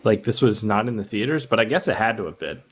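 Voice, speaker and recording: A man's voice: lowish voice, expressive, fast, breathy edge. Man casually musing over a film, faint music. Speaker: podcast co-host.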